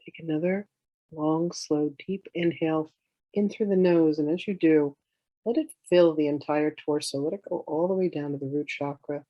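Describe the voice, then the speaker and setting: A meditation teacher guiding in a soothing, unhurried way, with gentle instructions in drawn-out phrases. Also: medium pitch, fairly even intonation, no room sound, muffled recording. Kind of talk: guided meditation, slow instruction.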